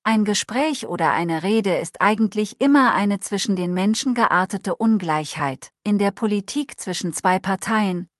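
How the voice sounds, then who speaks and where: A woman's voice: medium pitch, no room sound, clean studio sound, lightly acted. A woman reading the part of an outspoken Swiss man whose native tongue is Swiss German, in an earnest, blunt and polemical manner with long formal sentences. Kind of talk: reading a transcribed conversation aloud.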